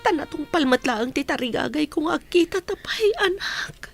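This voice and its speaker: A woman playing a tender, long-yearning mother in a radio drama, with an emotional, breathy delivery. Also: high voice, lively intonation, normal speed, faint music behind. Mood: tender, aching.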